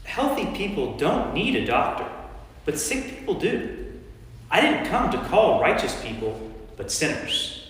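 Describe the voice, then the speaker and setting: A man playing Jesus as an earnest, firm teacher in a staged scripture reading, speaking deliberately and emphatically. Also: high voice, wildly swooping pitch, a bit bright, heavily acted. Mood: instructive. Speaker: lay reader in a staged church reading. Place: large church interior. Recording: very echoey church, distant mic.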